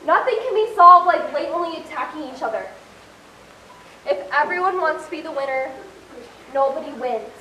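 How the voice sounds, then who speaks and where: A woman's voice: high voice, expressive, moderate pace, pauses often, sharp tone. A young woman playing an exasperated, scolding peacemaker in an amateur one-act stage play. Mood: earnest, scolding. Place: indoor stage, recorded from a distance. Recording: echoey stage, far from mic, noisy.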